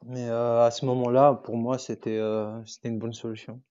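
A man's voice: mid-range voice, little pitch movement, moderate pace, mellow, dull audio. A young man from Tunisia whose first language is Arabic, chatting as a podcast guest and hesitating often as he searches for words. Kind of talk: casual interview chat.

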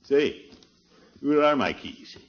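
A man's voice: on the high side, animated, slow pace. An actor playing a pompous, easily flustered middle-aged uncle in a 1950s radio sitcom, blustering and drawing out his words with nervous chuckles. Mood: complacent. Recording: muffled, noisy old radio recording.

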